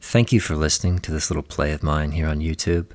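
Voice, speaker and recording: A man's voice: extremely low pitch, steady tone, quick pace. A man giving a scripted, courteous thank-you to listeners as the creator of an audio play. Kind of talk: scripted outro address to listeners.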